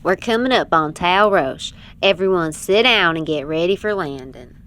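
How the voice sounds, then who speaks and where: An actress playing a playful, easygoing spaceship pilot in an audio drama, with an animated way of talking. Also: female voice, fairly low pitch, normal speed, noisy background, close to an everyday voice. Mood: brisk, excited.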